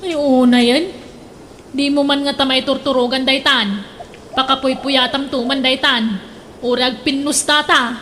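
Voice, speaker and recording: A woman's voice: high voice, steady tone, unhurried, fairly bright tone, slight background noise. A woman with an Ilocano accent playing an exasperated young wife in a radio drama, with a complaining, pleading tone. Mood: scolding.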